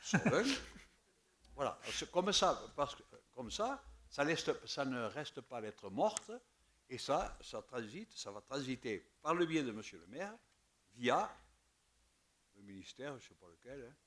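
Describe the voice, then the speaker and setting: A man speaking as a councillor in a town council meeting, halting and repeating words, his voice often dropping away at the ends of phrases. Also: mid-range voice, sing-song, a bit bright. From southern France. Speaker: municipal councillor.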